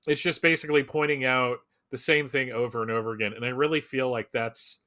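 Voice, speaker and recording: A man's voice: medium pitch, fairly even intonation, fairly quick, sharp tone. Man in casual, emphatic fan talk. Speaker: Superman fan commentator on a fan live show.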